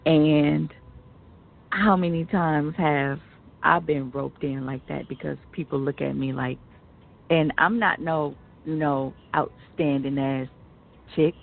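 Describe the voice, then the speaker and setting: An American woman with a creaky voice chatting bluntly and slangily as a radio co-host, giving her opinions. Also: deep voice, steady tone, takes it slowly. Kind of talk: casual opinionated chat. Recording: narrow tinny phone-like line.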